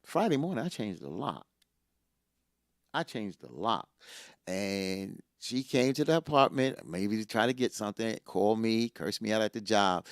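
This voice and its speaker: A man's voice: lowish voice, gravelly, fairly bright tone, dry recording, studio quality. A middle-aged man co-hosting a casual chat, telling a personal story with energy and repeating lines for emphasis.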